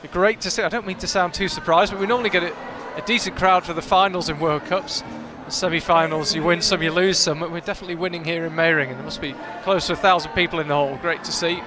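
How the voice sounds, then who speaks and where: High-voiced male climbing commentator. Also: steady tone, fast, few pauses. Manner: chatty, analytical, thinks aloud with restarts. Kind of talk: live sports commentary. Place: big climbing competition hall with a large crowd. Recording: loud crowd in a big hall.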